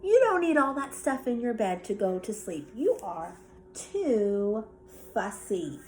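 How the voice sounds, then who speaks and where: A woman puts on an exasperated big brother from a children's storybook, coaxing a younger sibling. The delivery is drawn out and slow, over faint background noise. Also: a little high, very animated. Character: little critter, exasperated older sibling coaxing his sister.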